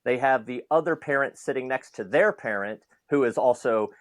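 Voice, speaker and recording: Male host, mid-pitched voice, conversational interview style, reflective, dry recording. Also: moderate pace, dry recording. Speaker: podcast host.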